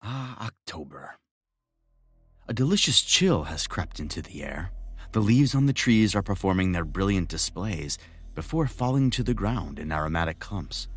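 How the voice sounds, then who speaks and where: A man's voice: low voice, very animated, moderate pace, breathy edge, no echo, faint music behind, full character voice. A man playing a gleeful, macabre master of frights, savoring an ornate scripted podcast introduction in a theatrical manner. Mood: macabre relish. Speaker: horror anthology podcast host.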